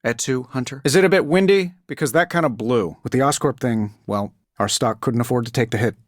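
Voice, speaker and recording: An actor voicing a cocky, wisecracking genius inventor superhero in a video game, with an American accent and a sarcastic, glib delivery. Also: male voice, medium pitch, a little fast, no echo, clean studio sound, lightly acted. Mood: dry sarcasm. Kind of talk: video game character voice lines.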